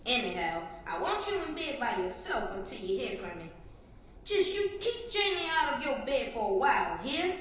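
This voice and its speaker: A teenage girl playing a brisk, folksy Southern country doctor in a dramatic stage reading. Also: fairly high pitch, big pitch swings, fairly bright tone. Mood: firm. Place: public reading in a hall. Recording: very echoey hall, faint noise.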